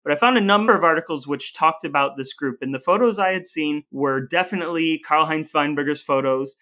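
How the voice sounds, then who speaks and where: A man's voice: on the high side, a little fast, pushed and bright. An American man co-hosting a conversational podcast, explaining things articulately in full sentences. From United States. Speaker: podcast co-host.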